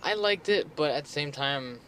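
A man's voice: on the high side, lively intonation, normal speed, a loud crowd, poor audio. A man co-hosting a movie podcast, chatting casually with slang and the odd swear word. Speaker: podcast host.

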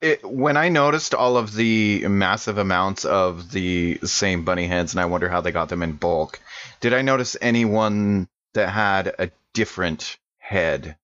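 Male tabletop player: lowish voice, casual, hesitant questioning, slightly dull lo-fi microphone. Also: unhurried, fairly bright tone. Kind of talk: casual in-game talk with the game master.

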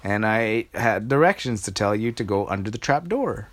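A man's voice: fairly low pitch, expressive, normal speed, lightly acted. A man voicing a cheerful little boy prince.

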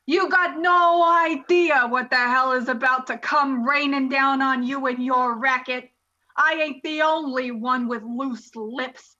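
Woman playing shrill, defiant gangster-movie snitch. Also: fairly even intonation, measured pace, pushed and bright, lo-fi sound. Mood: defiant, taunting. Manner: brash, taunting. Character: defiant, tough-talking female gangland snitch. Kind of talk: 1930s gangster movie parody acting.

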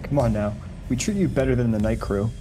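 A man's voice: mid-range voice, brisk, soft background music, close to an everyday voice, low-quality recording. A man playing a frazzled, groggy scientist in his forties in a screenplay table read. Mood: nervous coaxing.

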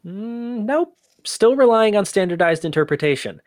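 An actor playing an inquisitive human archivist in an audio drama, with a conversational, halting, often exasperated manner. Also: medium pitch, animated, darkish tone, dry recording, studio quality, lightly acted. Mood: thoughtful, explaining. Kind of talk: scripted audio drama acting.

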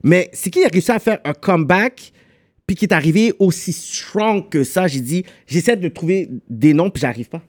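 Dude telling stories, kinda high voice. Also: male voice, normal speed. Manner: animated storyteller, mixes English slang into French. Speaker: podcast co-host. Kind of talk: casual chat, storytelling.

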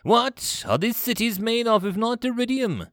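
An imperious alien fleet commander from a sci-fi audio story, acted out by a man. He is pompous and snarling, quick to lose patience, and his pitch leaps high above normal talk in big swings. The sound is close and dry. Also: sing-song, clear, resonant tone, no echo. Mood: snapping, exasperated. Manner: pompous, snarling, easily exasperated.

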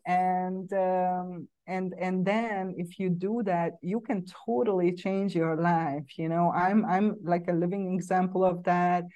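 A woman's voice: on the low side, fairly even intonation, slow pace, few pauses, mellow, dull audio. A woman with a Hungarian accent talking conversationally and earnestly as a podcast host, often saying "you know". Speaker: podcast host and psychedelic integration coach.

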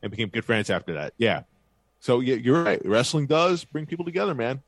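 A man's voice: medium pitch, animated, a little fast, no echo. A man co-hosting a podcast in casual chat, talking with eager hype about upcoming events. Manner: enthusiastic hype-style promo delivery. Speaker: podcast co-host.

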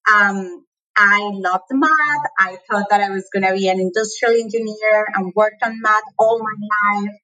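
A woman's voice: medium pitch, steady tone, a little slow, pushed and bright, faint music behind, rough recording. A woman from Colombia with a Colombian accent, a native Spanish speaker, talking reflectively as an interview guest and laughing often. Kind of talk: conversational interview.

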